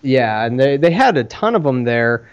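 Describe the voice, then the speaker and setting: A man hosting a podcast, chatting casually in a rambling, halting way about his own business. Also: mid-range voice, big pitch swings, clean tone, highs cut off. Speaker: podcast host and arcade owner.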